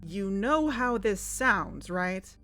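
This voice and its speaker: A woman's voice: mid-range voice, expressive, slow, bright, forceful tone, soft background music. A woman playing a practical, level-headed podcast co-host in an audio drama, with a matter-of-fact manner. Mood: skeptical, practical. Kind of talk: audio fiction podcast dialogue.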